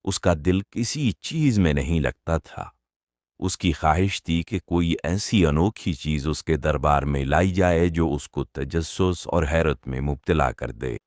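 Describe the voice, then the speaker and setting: A man narrating a scripted children's story as an expressive storyteller. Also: very deep voice, unhurried, dry recording, clean studio sound.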